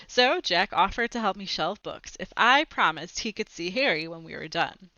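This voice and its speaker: Animated young woman reading a children's book aloud. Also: fairly low pitch, normal speed, no room sound, dull audio. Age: young adult. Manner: animated, clearly phrased storytelling. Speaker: read-aloud storyteller. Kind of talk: expressive children's book read-aloud with brief asides. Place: small home room with bookshelves, indoors. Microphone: headset microphone.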